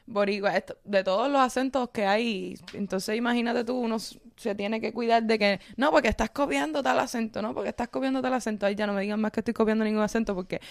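Young Cuban-Miami woman, Spanglish chatter, higher voice, dry close mic. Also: fast, vocal fry, few pauses, dry recording. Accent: Miami Cuban Spanish with English mixed in. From Cuba. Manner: switches into English words mid-sentence. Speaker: content creator. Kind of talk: casual podcast chat.

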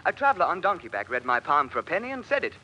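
Eager, piercing romantic bachelor. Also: male voice, fairly high pitch, huge pitch swings, very fast, sharp tone, dull audio, heavily acted. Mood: dreamy, hopeful. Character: hopeful, dreamy, stubborn bachelor longing to wed. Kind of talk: 1950s radio drama acting. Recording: old radio recording, faint noise.

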